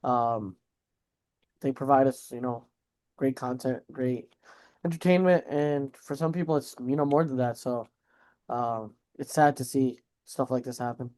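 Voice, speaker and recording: A man's voice: medium pitch, a little slow, a little mellow. A man co-hosting a podcast, reacting to news in a casual, slangy way with mild swearing. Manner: slangy, informal, swears mildly. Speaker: podcast co-host.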